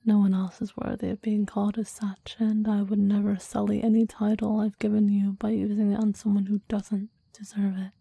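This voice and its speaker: A woman playing a clingy, deadly demon devoted to a master, in a breathy ASMR roleplay whisper with formal, old-fashioned phrasing. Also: medium pitch, little pitch movement, normal speed, few pauses, mellow, dry recording, clean studio sound. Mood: reverent, menacing. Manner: formal, archaic, reverent phrasing.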